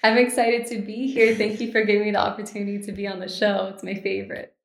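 A woman's voice: mid-range voice, flat delivery, fast talker, gravelly, reverberant. An American woman in her twenties from Miami, speaking as a podcast guest in a cheerful, articulate, positive conversational way. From Miami, Florida, United States. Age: 20s. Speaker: podcast guest, bride-to-be and law student. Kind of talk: casual interview conversation.

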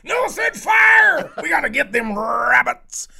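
A hot-tempered cartoon cowboy, shouts in a high, strained voice. He blusters and drawls as he hollers after rabbits. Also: sing-song, a little slow, vocal fry, full character voice. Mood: blustering, shouting. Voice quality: strained yelling.

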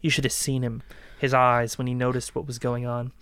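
A cruel killer acted by a man in a dramatic crime reading. He gloats and taunts his victim with cold sadism, at a middling pitch. The sound is dry and close. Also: level tone, fairly quick, a bit bright, smooth, no room sound, natural voice. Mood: gloating, taunting.

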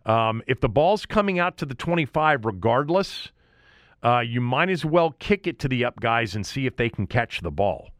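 A man hosting a sports show alone, talking casually and conversationally in halting phrases with asides and repetition. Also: medium pitch, sing-song, a bit bright, dry recording, clean studio sound. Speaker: sports podcast host. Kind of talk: casual sports commentary monologue.

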